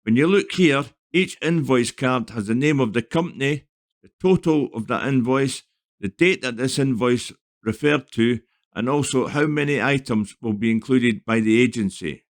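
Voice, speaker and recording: A man narrating a scripted software tutorial in an explanatory, step-by-step manner. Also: medium pitch, moderate pace.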